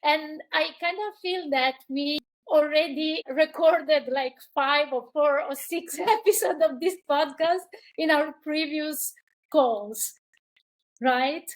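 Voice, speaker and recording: A woman with a high voice hosts a podcast over a video call. She sounds effusive and enthusiastic, sometimes restarting her phrases as she introduces her guest. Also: slow pace. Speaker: podcast host. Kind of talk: warm conversational interview.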